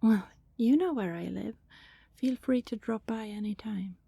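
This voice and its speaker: A woman playing a friendly, teasing vampire neighbour in an intimate ASMR roleplay, chatty and casual, with a soft breathy voice that often slips toward a whisper. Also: on the high side, unhurried, dry recording, heavily acted. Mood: fond, teasing. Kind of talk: ASMR roleplay, intimate one-sided conversation with listener.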